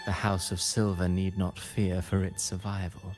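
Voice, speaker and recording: A man playing a calculating, courtly villain in a video game, with soothing, measured and eloquent delivery. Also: sits low, level tone, natural voice. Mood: courteous, sly. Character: suave, calculating male villain with theatrical, courtly speech. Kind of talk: scripted video game acting. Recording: music playing behind the dialogue.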